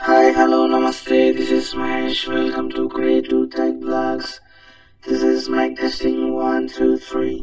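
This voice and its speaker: A man's voice: extremely high pitch, monotone, extremely slow, loud music behind the voice, pitched above the performer's own voice, exaggerated voice, low-quality recording. A man speaking as a musical synth robot through a vocoder effect. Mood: musical greeting.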